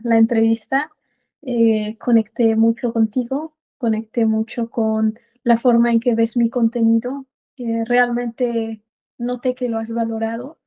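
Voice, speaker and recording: A Mexican woman answering as an interview guest, earnest and halting, often repeating words as she goes. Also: a little high, warm tone. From Puebla, Mexico. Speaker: podcast guest, biodecoding practitioner.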